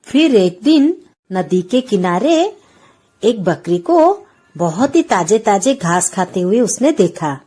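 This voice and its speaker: A woman's voice: medium pitch, very animated, takes it slowly, dark tone, slightly rough. A woman narrating a scripted children's story as its storyteller.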